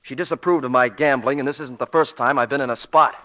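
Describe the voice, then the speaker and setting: A man's voice: medium pitch, fast talker, bright, forceful tone, close to an everyday voice. Man playing a tense young playboy gambler. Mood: anxious pleading. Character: nervous, evasive young playboy gambler in debt. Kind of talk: 1940s radio acting.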